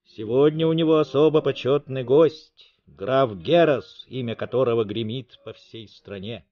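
A man's voice: medium pitch, unhurried, dull audio. A man narrating a story in a dramatic, theatrical, declamatory way.

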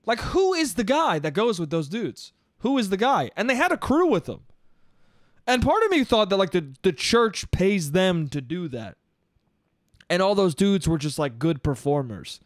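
A man's voice: high voice, sing-song, normal speed, no echo, clean studio sound. A young man in his twenties hosting a solo podcast in a small home room, talking loosely and profanely, a little congested. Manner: loose, profane, rambling, laughs at own jokes. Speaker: podcast host. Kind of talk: casual solo podcast monologue. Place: small bedroom studio. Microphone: boom-arm studio mic up close.

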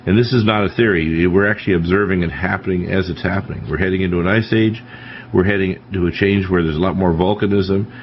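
A man's voice: deep voice, fast talker. A man hosting a talk radio panel, lecturing emphatically and warning of disasters. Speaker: radio talk show host. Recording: dull lo-fi radio broadcast with faint hiss.

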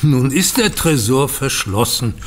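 A man's voice: mid-range voice, expressive, measured pace, heavy background noise, lightly acted. A man playing a courteous, self-satisfied wealthy vault owner in a German radio play, formal and composed. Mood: politely insistent.

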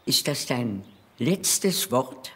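A woman's voice: rumbling low, big pitch swings, extremely slow, a bit bright, full character voice. An older woman playing a haughty, blunt old countess in a German crime radio drama, with an aristocratic, brusque manner. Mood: scornful.

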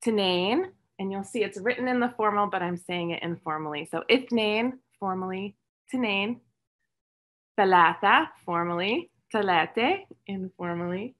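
A cheerful, encouraging middle-aged woman leads a children's lesson from her home. She speaks into a close webcam and repeats each word slowly so the kids can follow. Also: medium pitch, bright, forceful tone. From born and raised in English-speaking countries, Palestinian roots. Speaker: children's storytime host and Arabic teacher. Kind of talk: teaching numbers to young children over a video stream. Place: home room with shelves of toys and books. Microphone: webcam at close range, video call.

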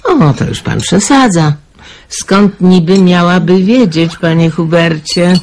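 An actress playing a warm, wistful middle-aged countrywoman who runs a wartime household in a radio drama. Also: lowish voice, slow pace, slight background noise. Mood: chatty. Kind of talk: Polish radio drama acting.

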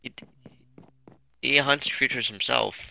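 A man's voice: mid-range voice, steady tone, takes it slowly, creaky, bright, forceful tone, no room sound, rough recording. A man chatting casually in character as he plays a roleplay video game. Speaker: gamer in a roleplay series. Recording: faint game music behind the voice. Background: game music.

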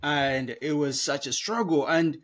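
A man's voice: on the high side, unhurried, a bit bright. A man in his thirties from Africa, co-hosting a podcast over a video call on a headset, telling a story in a rambling, self-correcting way with frequent laughs. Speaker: podcast co-host. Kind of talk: casual conversational storytelling. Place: small room at home. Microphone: over-ear headset on a video call.

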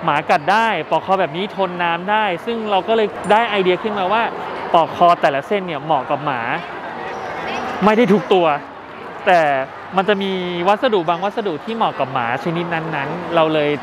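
A young man, the founder of a brand, casually explaining his products at a trade show booth, friendly, with frequent filler pauses. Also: sits high. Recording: noisy expo hall.

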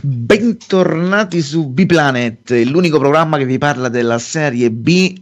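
A man's voice: fairly high pitch, lively intonation, moderate pace. Male co-host welcoming listeners back. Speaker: radio co-host. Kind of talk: radio presenting.

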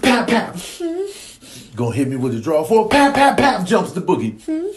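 A man's voice: sits high, huge pitch swings, measured pace, exaggerated voice. A man voicing an oddball in a comedy voiceover, with clipped quizzical questions and popping mouth-made gunshots.

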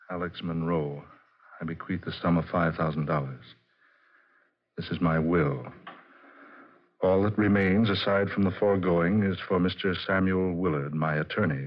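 Scheming lawyer in old radio play, self-assured, raspy, dull muffled sound. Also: male voice, deep voice. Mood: formal, solemn. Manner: formal, measured, self-assured. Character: smooth-talking, calculating male attorney. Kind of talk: 1940s radio drama acting. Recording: muffled vintage radio recording.

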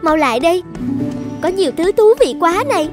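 A woman's voice: very high voice, lively intonation, a little fast, music in the background. A woman playing a spirited, naive young princess with a girlish, emotive manner in a children's cartoon. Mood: excited. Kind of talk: dubbed children's cartoon acting.

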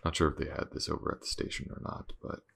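A man playing a gruff, weary, aloof detective in an audio roleplay, speaking haltingly and informally to the listener in a husky mutter. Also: fairly bright tone, lightly acted. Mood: weary, businesslike. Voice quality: low, gravelly, husky. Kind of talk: intimate audio roleplay acting, addressed to the listener.

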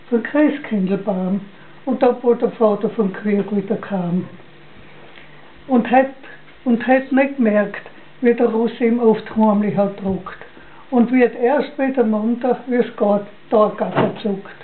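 Casting: older woman, Styrian Austrian accent, fairly high voice, unhurried amateur poetry recital with halting repeats, thin muffled home recording. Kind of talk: dialect poem recitation from memory. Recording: dull lo-fi home recording, noisy.

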